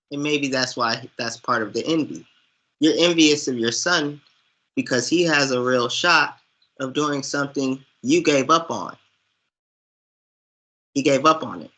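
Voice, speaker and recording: A man with a forceful, bright voice at a middle pitch talks through a film as a co-host. He takes his time, sounding earnest and opinionated, and leans on his points with emphasis. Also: low-quality recording. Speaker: podcast co-host discussing films. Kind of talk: conversational film commentary.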